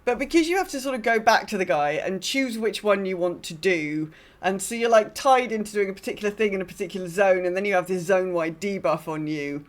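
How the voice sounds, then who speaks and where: A woman's voice: mid-range voice, expressive, fairly quick, hardly any pauses. Female podcast co-host, mid-range voice, casual and understated gaming recap. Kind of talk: casual chat about an online game.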